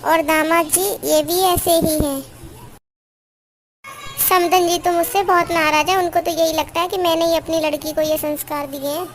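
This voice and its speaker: Woman voicing a high, mild older mother-in-law. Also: steady tone, moderate pace, slight background noise. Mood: worried. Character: gentle older mother-in-law, worried and considerate. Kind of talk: Hindi cartoon family drama acting. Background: music.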